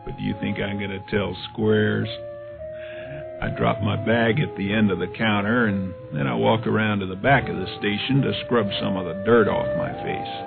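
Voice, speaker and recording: Cast laid-back beatnik drifter, gravelly voice swinging up and down, jive slang, old tinny radio sound. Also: male voice, fairly low pitch, wildly swooping pitch, normal speed, over music, heavily acted. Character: cool-talking young male beatnik drifter. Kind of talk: 1950s radio drama acting.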